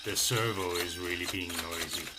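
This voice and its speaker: A man giving a casual hobby review as a voice-over, hesitant, with many pauses and filler sounds. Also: sits low, fairly even intonation, very noisy. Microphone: voice-over microphone.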